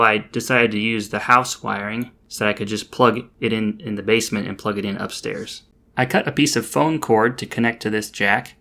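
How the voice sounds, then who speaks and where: A man's voice: mid-range voice, bright, forceful tone. A man narrating a DIY project in a casual, explanatory voice-over with a dry, understated manner.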